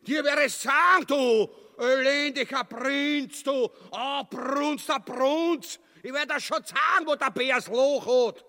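Creaky pompous viennese tyrant, crude and cowardly, sharp voice in a reverby hall. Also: male voice, extremely high pitch, takes it slowly, full character voice. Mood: furious bluster. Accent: Viennese Austrian. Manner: pompous, blustering. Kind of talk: grotesque farce radio play acting.

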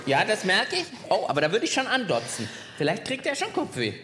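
A man with a very animated delivery, co-hosting a kids' show on stage. He talks casually, exclaiming and puzzling out loud. Faint noise sits behind the voice. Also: high-pitched, a little fast. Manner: playfully puzzled, thinks aloud, exclaims. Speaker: co-host and test subject on a children's science show. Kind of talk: casual, spontaneous chat on stage. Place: live on stage at a podcast festival.

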